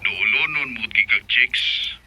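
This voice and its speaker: A man's voice: lowish voice, unhurried, pushed and bright, slightly rough, exaggerated voice, low-quality recording. A man in a radio drama playing a buddy who teases his friend. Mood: teasing. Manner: teasing, laughing. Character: joking male buddy teasing a friend.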